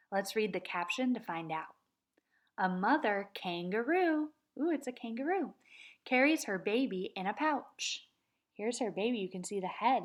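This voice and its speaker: A woman teaching kindergarten, reading a nonfiction book aloud and chanting words slowly for the children to repeat. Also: medium pitch. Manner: slow, patient, sing-song chanting of words for children to repeat. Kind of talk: read-aloud lesson for young children.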